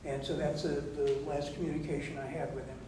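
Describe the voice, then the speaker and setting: Man, town council official running a meeting, slightly high voice, measured and formal, recorded in a very echoey room. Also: little pitch movement. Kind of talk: reporting at a public meeting. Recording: echoey meeting hall, distant mic, noisy.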